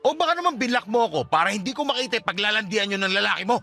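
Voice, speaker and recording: A man's voice: high voice, animated, racing, sharp tone, faint music behind, exaggerated voice. A man playing a hurt, jealous estranged husband and father in a radio drama, earnest and insistent. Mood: hurt, indignant. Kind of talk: Tagalog radio drama acting.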